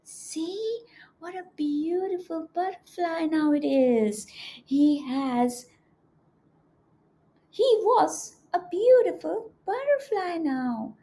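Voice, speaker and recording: Female kids' educator for read-alouds; high voice, unhurried delivery, teacherly, engages little listeners with questions. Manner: animated, teacherly, often prompting children with questions. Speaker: children's educator and storyteller.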